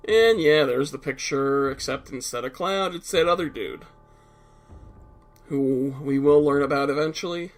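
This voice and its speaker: A man's voice: on the high side, unhurried. A man with a stuffed-up nose giving casual, explanatory commentary over a video game, blunt and a little profane at times. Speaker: let's play commentator.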